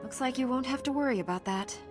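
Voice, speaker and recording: An actress playing a composed, resolute Jedi knight in a video game, with a measured, assured delivery. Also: female voice, sits high, over music, close to an everyday voice. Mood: calm, resolute.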